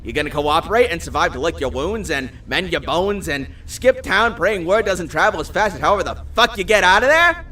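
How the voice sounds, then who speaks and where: Man playing a cocky, animated, wisecracking gunslinger. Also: high voice, brisk, distant mic, exaggerated voice. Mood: taunting, menacing. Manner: sarcastic, taunting, swaggering. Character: cocky, foul-mouthed, wisecracking male supernatural shotgun-toting hunter. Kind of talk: dramatized audio fiction dialogue.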